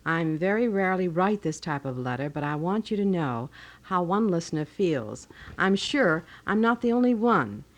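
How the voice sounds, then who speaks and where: A woman's voice: fairly low pitch, expressive, moderate pace. A woman co-hosting a radio show, reading listener letters aloud, on a 1980 local broadcast. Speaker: radio co-host. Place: radio studio.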